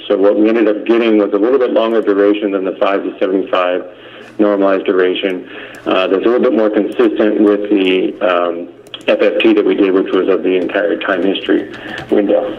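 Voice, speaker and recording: Man, slightly low voice, methodical technical explanation, conference call, muffled lo-fi audio. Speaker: engineering presenter. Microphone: conference call line. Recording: dull lo-fi conference phone call.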